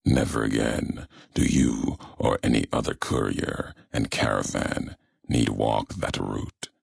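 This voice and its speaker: A grim, philosophical wanderer of a video game wasteland, with an extremely low voice full of heavy gravel. He delivers brooding, vengeful monologues very slowly, in terse and deliberate fragments that sound like quiet threats. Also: steady tone, heavy reverb, heavily acted. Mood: somber, bitter. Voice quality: gravelly, very deep, breathy. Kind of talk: slow, brooding dramatic monologue.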